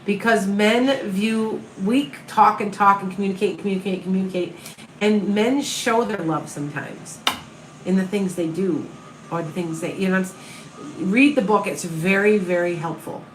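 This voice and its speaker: A woman with a Midwestern accent talks to her live viewers in a calm, comforting way. The room around her is echoey, with a faint hum behind her voice. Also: medium pitch, moderate pace. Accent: Upper Midwest American. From American Midwest. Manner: calm, soothing, reassuring. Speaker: tarot reader and medium. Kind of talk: live-stream reading viewer questions.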